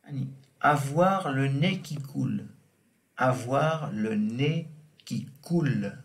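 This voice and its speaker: A man teaches French words at a crawling pace, saying each one twice and spelling out examples. His voice has a gravelly, fry-tinged edge and sits in a middling register. The delivery is patient and deliberate, like a recorded classroom lesson. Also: medium pitch, animated. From Tibet. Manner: slow and deliberate, repeating each word and example. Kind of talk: vocabulary lesson explained in Tibetan.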